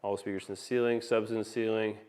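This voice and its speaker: Smooth-voiced man giving an informal install walkthrough. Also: lowish voice, flat delivery, fast talker, darkish tone. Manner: informal, enthusiastic salesman-like patter. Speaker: audio-video installer presenting his work. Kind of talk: casual video walkthrough. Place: inside a large open living room. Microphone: handheld phone camera.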